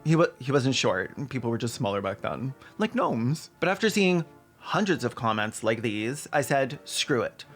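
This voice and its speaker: Guy doing snarky video essay, irreverent jokes and casual asides, medium pitch, bit of music behind. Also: lively intonation, normal speed. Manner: sarcastic, irreverent. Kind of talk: scripted comedic commentary with casual asides.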